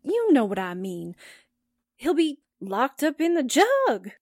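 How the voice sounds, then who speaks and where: A woman's voice: high-pitched, huge pitch swings, slow pace, darkish tone, no echo, exaggerated voice. A woman acting a slangy, playful newspaperwoman sidekick in 1940s radio drama style. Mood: playful, comic. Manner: folksy, playful, stumbles over big words.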